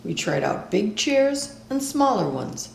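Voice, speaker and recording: Female storybook reader, mid-pitched, slow and deliberate, echoey room. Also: sing-song, creaky, a little hiss or hum. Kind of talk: expressive read-aloud of a children's picture book.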